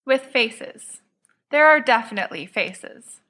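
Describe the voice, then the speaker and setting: Bright-voiced woman narrating fiction. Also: a little high, animated, takes it slowly. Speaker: podfic reader, narrator.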